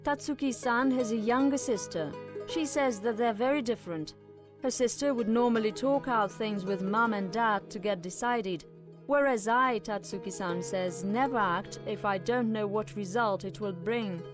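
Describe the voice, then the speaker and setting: A woman's voice: medium pitch, moderate pace. A woman reading scripted documentary narration as the narrator, with instrumental music behind her.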